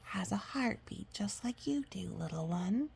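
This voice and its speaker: A woman voicing a gentle, wise grandmother in a storybook, with a breathy, hushed and tender way of teaching. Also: mid-range voice, expressive, full character voice. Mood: tender, calm. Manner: slow, tender, instructive. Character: gentle, wise grandmother who loves gardening.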